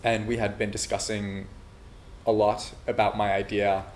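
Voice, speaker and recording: A man from Finland who is also a nursing student, answering interview questions about his startup in a measured, thoughtful way, pausing often with "uh". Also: fairly low pitch, flat delivery, a little hiss or hum. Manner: measured, thoughtful delivery with frequent "uh" pauses. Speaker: entrepreneur pitching a startup idea.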